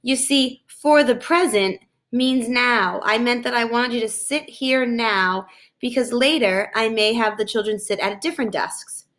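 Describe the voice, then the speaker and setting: A woman reading a storybook as a gentle, patient kindergarten teacher who enunciates carefully. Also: fairly high pitch, unhurried. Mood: gentle, apologetic. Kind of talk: children's storybook read aloud.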